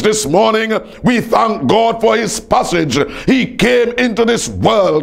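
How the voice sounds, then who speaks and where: Male preacher, high voice, heavy gravelly creak, emphatic declamatory sermon delivery, repeated phrases.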